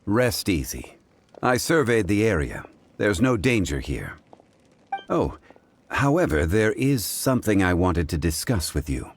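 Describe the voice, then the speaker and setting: A man playing a calm, learned gentleman in a video game, speaking in a measured, formal, pensive manner. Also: sits low, a little hiss or hum, full character voice. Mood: serious. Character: calm, learned, dignified gentleman consultant of ancient wisdom. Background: game music.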